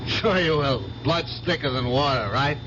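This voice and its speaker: An actor playing a gruff but good-natured working-class truck driver in a radio drama, blunt and folksy in his talk. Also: fairly high pitch, normal speed, close to an everyday voice. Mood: friendly. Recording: muffled, noisy old radio broadcast.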